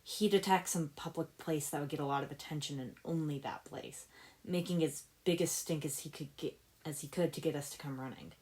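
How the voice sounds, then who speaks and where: An earnest young ninja hero, read by a woman in a low voice. He is shrewd and a little rueful as he explains himself in an adventure story. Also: a little fast, darkish tone, natural voice. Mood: explaining, certain.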